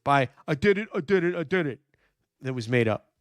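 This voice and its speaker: A hoarse man, nearly losing his voice, hosting a true crime livestream, talking emphatically and answering viewer chat. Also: on the high side, animated, a little fast, dry recording. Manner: emphatic, repeats "no question", thinks aloud with fillers. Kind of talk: live commentary reading viewer chat.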